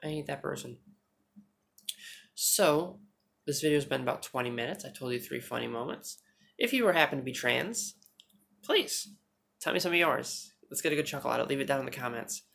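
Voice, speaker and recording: A woman vlogging on her phone at home, chatting fast and joking with some swearing, her naturally deep voice held higher. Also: expressive, gravelly, fairly bright tone. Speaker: YouTuber. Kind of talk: casual storytelling vlog. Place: indoors at home, TV behind her.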